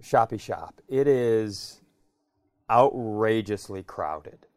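A man's voice: fairly low pitch, animated, drawn-out words, a little mellow, no room sound, clean studio sound. A man co-hosting a comedy podcast, telling stories casually and riffing in a conversational way. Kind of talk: casual comic storytelling.

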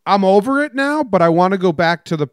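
A man's voice: sits high, animated, quick pace, clear, resonant tone, dry recording. Dry-joking man on a podcast. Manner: dry, sarcastic banter. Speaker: podcast co-host. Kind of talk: casual sports chat and jokey news rundown.

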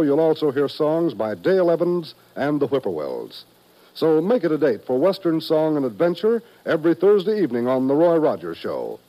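A man with an American accent announcing on 1950s radio in a polished, scripted promotional style. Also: fairly high pitch. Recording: dull vintage radio recording.

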